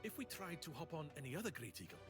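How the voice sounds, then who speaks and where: A woman's voice: sits low, very animated, fast, music in the background. An actress playing a wry, cheeky woman adventurer in a video game, with a playful, teasing manner. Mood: wry, confident.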